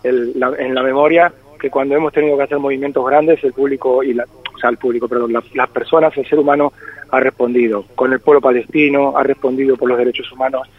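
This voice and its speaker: A man's voice: medium pitch, fairly even intonation, fast talker, breathy edge, no echo. A singer-songwriter in his forties with a slight Argentine-tinged Spanish accent, talking reflectively in a phone interview with many hesitations. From born in Spain. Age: 40s. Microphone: telephone. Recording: tinny phone line, dry.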